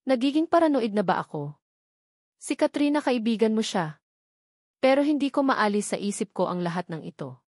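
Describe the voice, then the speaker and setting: A woman's voice: fairly high pitch, lively intonation, moderate pace, pauses often, darkish tone, no room sound, studio quality. A Filipino woman voicing a serious wealthy man haunted by suspicion, controlled and insistent, in a narrated story. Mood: troubled. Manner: controlled, grave, pleading.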